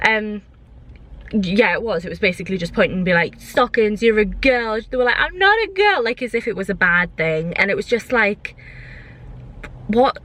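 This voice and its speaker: A woman's voice: medium pitch, animated, normal speed, a bit bright. A young woman chatting casually for a vlog, airing her opinions in an exasperated, emphatic way and repeating herself. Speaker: vlogger.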